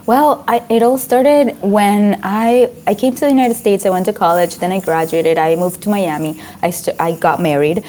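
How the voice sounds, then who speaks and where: A Venezuelan woman in her late twenties or thirties, a Spanish speaker, telling her story as an interview guest in a lively, laughing way. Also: medium pitch, normal speed, few pauses, a little hiss or hum. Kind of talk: conversational interview.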